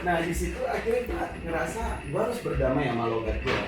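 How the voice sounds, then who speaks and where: A man from West Sumatra with a Minang accent, a guest chatting casually and telling his career story in lively slang. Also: on the high side, fast. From West Sumatra, Indonesia (Padang). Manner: animated storytelling in slangy speech. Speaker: guest, actor and radio host. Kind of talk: casual chat. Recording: echoey room, far from the mic, with music.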